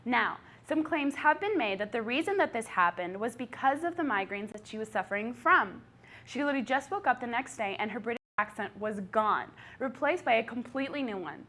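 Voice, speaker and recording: Woman, news anchor, scripted story, chatty, fairly high voice. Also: expressive, brisk, pushed and bright.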